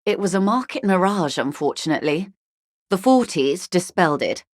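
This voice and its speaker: A wealthy, middle-aged female negotiator for a video game. Her voice is low and recorded very dry and close. She speaks in measured, eloquent sentences with polished formality and a worldly, dry irony. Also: big pitch swings, no echo. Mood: wry, somber. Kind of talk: video game character dialogue.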